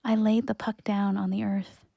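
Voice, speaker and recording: A woman's voice: medium pitch, level tone, normal speed, creaky, dark tone, no room sound, clean studio sound. A woman playing a resolute, grieving but hopeful young woman in an audio play, earnest and plain-spoken. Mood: sombre, remembering.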